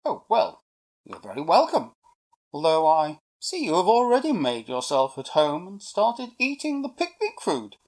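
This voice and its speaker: Woman as a high-pitched, polite little male elf. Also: sing-song, brisk, mellow, full character voice. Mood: polite, mildly annoyed. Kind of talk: children's storybook character voice.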